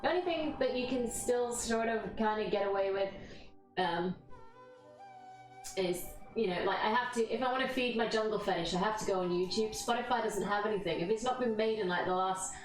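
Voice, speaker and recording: A woman's voice: mid-range voice, brisk. A woman with a London accent leading a casual live yoga class, chatting and bantering informally with viewers. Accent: London English. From London, England. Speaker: yoga instructor and live streamer. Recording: echoey room, distant mic, music behind. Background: music playing.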